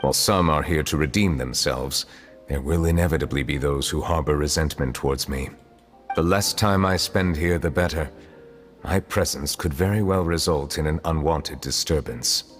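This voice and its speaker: A solemn ancient judge. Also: male voice, extremely low pitch, big-room sound, soft background music. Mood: calm. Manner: formal, measured, restrained. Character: ancient, formal, solemn male chief justice. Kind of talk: video game voice acting.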